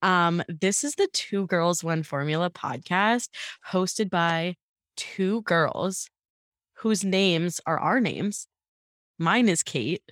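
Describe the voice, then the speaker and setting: A woman's voice: lowish voice, lively intonation, takes it slowly, fairly bright tone, no echo, clean studio sound. A young woman co-hosting a casual chat podcast, upbeat and giggly with lots of fillers, fighting allergies. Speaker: podcast co-host.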